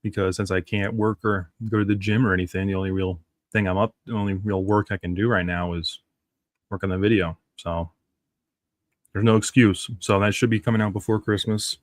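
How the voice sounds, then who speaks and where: Low-voiced guy, stuffed up nose, rambling live stream chat, dry close sound. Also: fairly even intonation, fairly quick, no echo. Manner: rambling, chatty, reads comics aloud. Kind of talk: casual live stream reaction.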